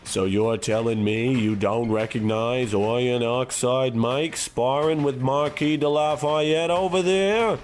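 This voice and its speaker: Slow, grandiose boxing mentor played by a man. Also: mid-range voice, fairly even intonation, a little mellow, slight background noise, close to an everyday voice. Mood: brisk, instructive. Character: bombastic, admiring boxing-world mentor in a radio comedy. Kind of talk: scripted radio comedy acting.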